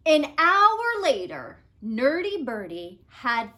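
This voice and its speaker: A woman narrating a children's storybook aloud in an expressive, measured way with dramatic emphasis. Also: high-pitched. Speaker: storybook reader.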